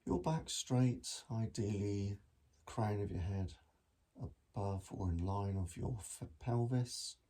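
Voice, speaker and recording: A man guiding a meditation in a gentle, measured manner with long pauses between phrases. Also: fairly low pitch. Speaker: mindfulness meditation teacher. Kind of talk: guided body scan meditation.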